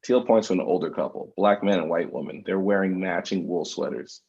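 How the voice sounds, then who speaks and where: Male stage-direction narrator, low-pitched voice, plain screenplay reading, slightly dull lo-fi recording. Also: fairly quick, a little mellow, husky. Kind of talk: scripted screenplay narration at table read.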